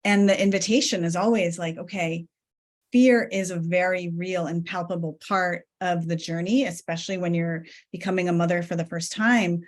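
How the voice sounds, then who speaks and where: A woman's voice: fairly low pitch, steady tone, normal speed. A woman in her thirties from Iran, hosting a video call interview in an affirming, conversational way with many "like"s. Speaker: podcast host. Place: home room, indoors.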